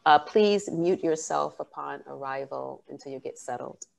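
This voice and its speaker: A woman giving an academic keynote talk over a video call, earnest and measured, pausing often with fillers. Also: low voice, creaky. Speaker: keynote speaker.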